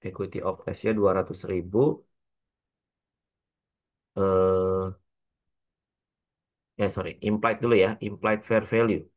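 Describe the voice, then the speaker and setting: A man with an Indonesian accent lecturing as a teacher, working through an example step by step in a halting, repetitive way with frequent checking tags. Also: sits low, flat delivery. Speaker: accounting lecturer.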